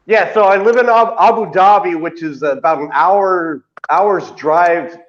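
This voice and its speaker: Halting man on dull lo-fi video call. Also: high-pitched. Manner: halting, many uh fillers and repeats. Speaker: co-host. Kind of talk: casual chat. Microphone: video call webcam. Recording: dull lo-fi call audio, faint hiss.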